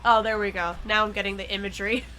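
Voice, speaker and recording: A woman's voice: mid-range voice, steady tone, fast, pushed and bright, slight background noise. A young woman reacting to metal songs in casual chat, playful and teasing, often giggling. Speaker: co-host reacting to music.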